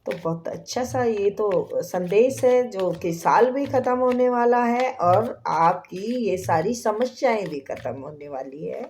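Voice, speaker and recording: A woman with a sore throat giving a tarot reading to her viewers, speaking softly and slipping into whispered prayers. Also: mid-range voice, expressive, a little hiss or hum. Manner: slow, soft, drops to whispers in prayer. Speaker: tarot reader.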